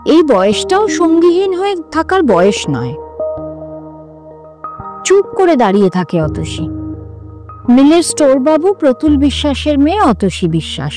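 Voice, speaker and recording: A woman reading literary fiction as scripted narration, with an expressive, dramatic storytelling delivery. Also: high voice. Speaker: story reader.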